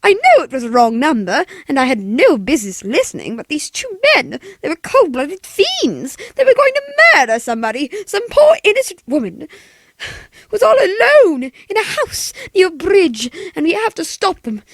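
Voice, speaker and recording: A woman's voice: high voice, very animated, normal speed, no echo, pitched above the performer's own voice. A woman playing an anxious, demanding, frail invalid wife in a 1940s-style radio play, fretful and given to breathless exclamations. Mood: horrified, panicked.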